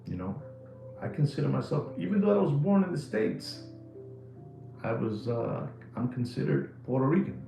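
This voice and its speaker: A New man in his sixties rambles through a live watch podcast, cracking jokes and slinging slang. His voice sits at a middle pitch with a gravelly, creaky edge. The room is echoey, with faint music playing in the background. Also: normal speed. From New York City. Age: 60s. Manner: animated, jokey storytelling with slang.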